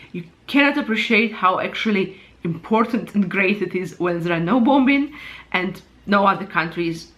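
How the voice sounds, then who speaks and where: Thoughtful lower-voiced woman from Ukraine. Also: fairly bright tone, low-quality recording. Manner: thoughtful, searches for words and restarts often. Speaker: channel host sharing personal opinion. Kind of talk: unscripted personal commentary to camera.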